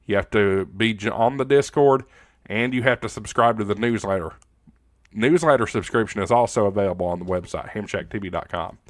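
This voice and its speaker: An American man with a fairly low voice hosts a casual livestream. He talks to his viewers in a folksy, joking, informal way. The sound is close and dry, with little room to it. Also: brisk, no room sound. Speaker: livestream host. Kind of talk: casual livestream chat reading viewer comments.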